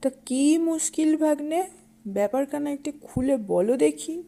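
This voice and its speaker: A woman voicing a sly jackal uncle who acts slow-witted and puzzled in a children's cartoon fable. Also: sits high, lively intonation, vocal fry, mellow, heavily acted. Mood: feigned confusion. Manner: wily, feigned puzzlement. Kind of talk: children's animated fable dialogue.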